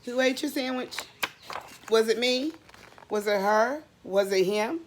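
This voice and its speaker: A woman's voice: on the high side, measured pace, noisy background. A middle-aged woman doing a read-aloud storytime for young children, expressively posing questions and answering them, drawing out and repeating words for emphasis. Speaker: children's storybook reader, teacher.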